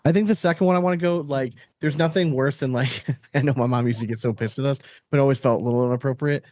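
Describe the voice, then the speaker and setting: A man's voice: medium pitch, fast, darkish tone, no echo. Male, mid-range voice, dry recording, informal and sweary, trading jokes in loose conversation. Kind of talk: casual joking banter.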